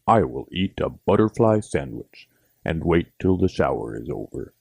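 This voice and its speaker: Storybook gentleman frog, performed by a man: fussy, genteel, extremely low and husky, formal in his phrasing. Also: sing-song, normal speed, no echo, heavily acted. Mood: planning, composed. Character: small gentleman frog angler, fussy and proper.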